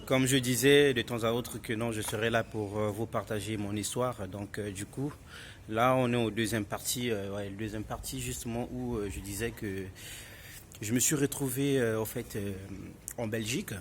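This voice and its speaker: A man's voice: fairly low pitch, steady tone, normal speed. A man with a Congolese French accent casually tells his personal story in an emphatic, repetitive way, addressing listeners as buddies. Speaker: vlogger telling his own story. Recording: clearly noisy ambient background.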